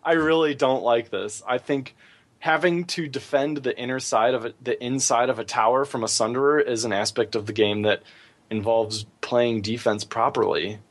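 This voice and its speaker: A man's voice: mid-range voice, steady tone, moderate pace. A man co-hosting a gaming podcast, talking casually and giving his opinions freely. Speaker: podcast co-host.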